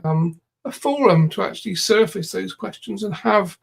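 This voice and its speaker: A man's voice: high voice, lively intonation, moderate pace, vocal fry, husky, heavily acted. A man channeling a male spirit guide who speaks formally as "we" and calls his co-host "my dear". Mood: calm, explanatory.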